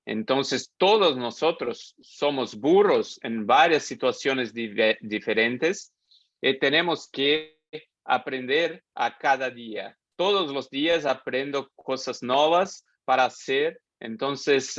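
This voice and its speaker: A Brazilian man with a Portuguese accent speaks slowly and haltingly, pausing often as he searches for words. He sounds like a guest speaker on a video call. The audio is dull and dry, with no room sound. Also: mid-range voice, a bit bright, no room sound. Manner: halting, many hesitations, mixes Portuguese into Spanish. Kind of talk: thanking at the close of a webinar.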